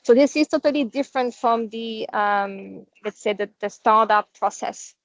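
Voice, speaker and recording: A woman's voice: on the high side, normal speed, gravelly, no room sound. A non-native English-speaking woman presenting on a company call, explaining things in a conversational, informal business style. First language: not English. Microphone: online conference call. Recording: dull, dry online call audio.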